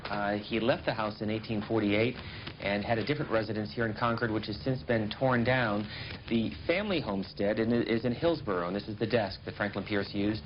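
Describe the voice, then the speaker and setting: Male TV host, quick pace, brisk and direct, muffled broadcast audio with light hiss. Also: on the low side. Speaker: television host. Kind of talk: hosting interview and tour.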